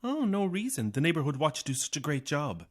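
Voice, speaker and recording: A man's voice: fairly high pitch, big pitch swings, a little fast. An actor playing an anxious, isolated young Irish man, edgy and sardonic, in a naturalistic audio horror drama. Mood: strained, weary.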